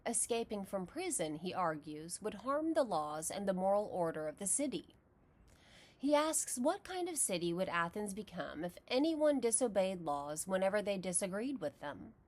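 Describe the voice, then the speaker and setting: A woman with a Texas accent, narrating a scripted philosophy lesson in a measured, explanatory way. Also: mid-range voice, very animated. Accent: Texan. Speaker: philosophy lecturer.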